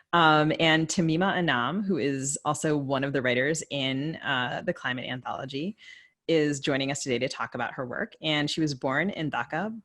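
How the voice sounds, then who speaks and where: A woman speaks as the co-host of a literary podcast. She makes introductions and chats with guests over a remote video call. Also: sits low.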